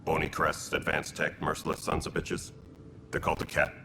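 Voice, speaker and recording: An actor playing a gruff, dutiful alien militia leader in a video game, speaking with blunt military terseness in a gravelly voice. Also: male voice, monotone, normal speed, background noise. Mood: grim. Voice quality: gravelly, very deep.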